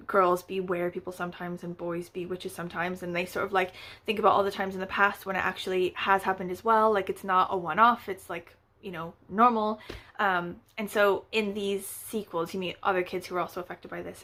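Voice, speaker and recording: A woman's voice: fairly low pitch, fairly bright tone. A woman chatting casually as a book vlogger, rambling with lots of fillers and wry, playfully exaggerated asides. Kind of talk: casual book review chat.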